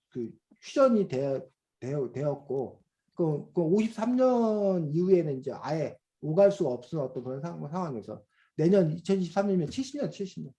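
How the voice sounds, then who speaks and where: Middle-aged male teacher, slightly high but mellow, explanatory and patient, many fillers, video-call sound. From South Korea. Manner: explanatory, many fillers, asks students questions. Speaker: reading teacher. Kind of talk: online class lecture to children.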